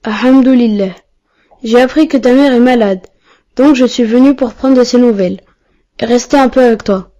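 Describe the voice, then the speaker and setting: A woman's voice: on the high side, moderate pace, dark tone, lightly acted. A woman voicing an earnest young cartoon boy. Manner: sincere, pious, questioning. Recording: dull lo-fi cartoon audio.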